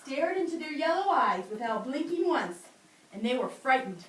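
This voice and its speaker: A young woman teaching a class, reading a storybook aloud with animated, dramatic delivery and asking the children questions. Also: high-pitched, normal speed, slight background noise. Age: young adult. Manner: animated, swells loud for dramatic lines, drops soft for quiet ones. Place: school classroom. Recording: echoey classroom from far off.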